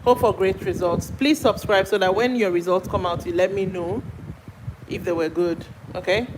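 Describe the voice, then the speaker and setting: A Nigerian woman chats casually on a live stream, greeting viewers by name and answering their comments as they come in. Her voice sits at an ordinary middle pitch for a woman. The recording is noisy, with steady passing traffic behind her. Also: normal speed.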